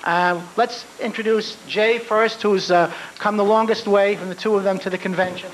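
A convention emcee, a man thanking the audience and introducing guests, with plenty of pauses and fillers. His voice is notably high in pitch. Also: steady tone, a little hiss or hum, low-quality recording. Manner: halting, filler-heavy. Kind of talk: stage announcements. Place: hotel ballroom.

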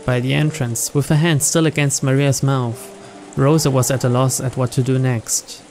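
A man with a medium-pitched voice reads visual novel narration aloud as a let's player. Clear game music plays behind his storytelling. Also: fairly even intonation, normal speed, a little mellow.

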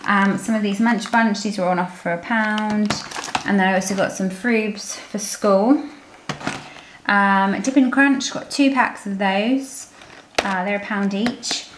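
A woman's voice: medium pitch, measured pace. British female family vlogger, casual and chatty, mid-range voice, echoey room with noticeable background noise. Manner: informal, chatty. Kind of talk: casual grocery haul chat, voice-over to handheld filming. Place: indoor room at home. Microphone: handheld camera microphone. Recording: roomy, noisy home camera recording.

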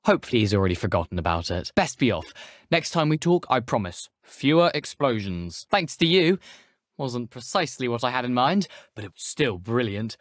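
A man's voice: medium pitch, very animated, brisk, no room sound, clean studio sound, lightly acted. A young man with a British accent playing an eager, cheeky teenage wizard schoolboy in a video game, chatty, persuasive and self-assured. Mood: chatty, breezy. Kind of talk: video game character dialogue.

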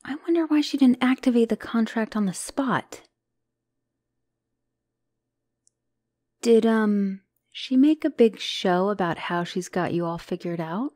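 A woman acts out a devoted young monster girlfriend in an intimate ASMR roleplay. She is anxious and unsure of herself, with a hesitant, emotional delivery that often softens toward a whisper. The voice sits at a medium female pitch in a very dry recording. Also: moderate pace, dry recording, lightly acted. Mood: wry, worried.

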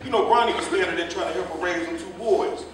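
A man's voice: sits high, quick pace, close to an everyday voice. A man playing a chatty, indignant, meddlesome cab driver who gossips at length in a staged play reading. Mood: gossipy, indignant. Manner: chatty, indignant, long-winded storytelling. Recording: echoey stage, distant mic, faint noise.

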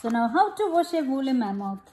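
A woman reading a storybook aloud to a child in an expressive, deliberate way, pausing to ask questions. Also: high voice, crowd noise. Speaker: picture-book reader to a child. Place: room at home, at a glass table. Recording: echoey room.

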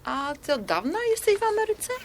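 An actress in a radio drama playing a modest, self-doubting Polish pensioner around sixty, speaking in a hesitant, demurring, conversational way. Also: female voice, high-pitched, big pitch swings, brisk, slight background noise. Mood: curious, disapproving.

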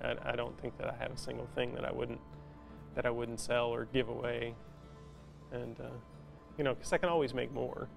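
A man in his forties, a metal artist, talking reflectively and haltingly in a documentary interview, with lots of casual fillers, on a lapel mic. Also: mid-range voice, vocal fry. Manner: halting, thoughtful, filler-heavy casual speech with many "you know"s. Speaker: metal sculptor being interviewed. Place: large indoor metal workshop. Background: instrumental music.

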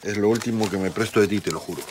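A man's voice: lowish voice, rapid-fire, noisy background, lightly acted. An actor with a Peruvian accent playing a proud, broke middle-aged man in a TV comedy serial, with a pompous, dismissive manner. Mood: boastful, dismissive. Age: 40s. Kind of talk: telenovela comedy acting. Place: indoor TV set rooms. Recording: background music under dialogue.

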